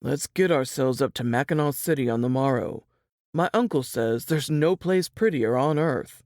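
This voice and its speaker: A man playing a protective, plain-spoken Southern piano player in a dramatized audiobook, with folksy period dialect and a creaky voice. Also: medium pitch, expressive, moderate pace, darkish tone, no room sound, clean studio sound, natural voice. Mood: determined, hopeful.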